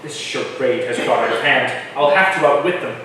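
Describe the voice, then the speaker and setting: An actor playing a principled, stubborn colonial record keeper in a staged drama, speaking formally and earnestly. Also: male voice, medium pitch, moderate pace, sharp tone, a little hiss or hum, close to an everyday voice. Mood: indignant. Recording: very echoey hall, far from mic.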